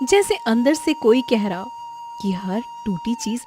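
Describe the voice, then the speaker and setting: A woman's voice: a little high, big pitch swings, unhurried. Woman narrating a story over music. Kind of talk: scripted dramatic narration.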